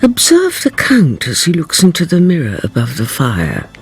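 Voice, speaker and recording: An actress playing a mysterious storyteller who narrates a radio drama in a hushed, portentous way. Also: female voice, low-pitched, huge pitch swings, normal speed, slightly rough, faint music behind, heavily acted. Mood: hushed, ominous. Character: brooding, mysterious female storyteller.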